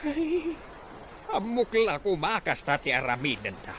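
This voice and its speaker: A rather high male voice that swings widely in pitch, with a Filipino accent. A man acting as an exasperated father, grumbling and exclaiming in a radio drama over faint noise. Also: huge pitch swings, a little slow, a bit bright, full character voice. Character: exasperated father of grown children abroad.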